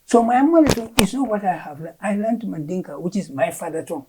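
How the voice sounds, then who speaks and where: Gambian woman, Fula native speaker, husky and mellow; an emphatic official explaining policy, repeating words mid-thought. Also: medium pitch, lively intonation, quick pace. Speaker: curriculum official, interview guest. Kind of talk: explaining education policy in an interview.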